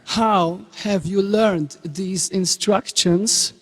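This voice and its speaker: A man acts out a stern religious teacher, quick to take offence. He speaks slowly and theatrically in a high voice, with long hall reverb around each word. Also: full character voice. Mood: stern, pleading. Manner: deliberate, theatrical. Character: stern, easily outraged male religious schoolteacher. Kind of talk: stage dramatic reading.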